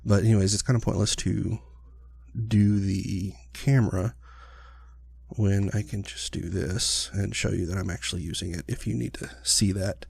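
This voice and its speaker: A man chatting through a gameplay session, rambling and relaxed with plenty of fillers. His voice is low, gravelly and husky, with a mellow, dark tone, and he is still sniffly from being sick. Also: moderate pace. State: recovering from illness, sniffly, clearing his throat. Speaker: gaming channel host. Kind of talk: casual let's-play commentary.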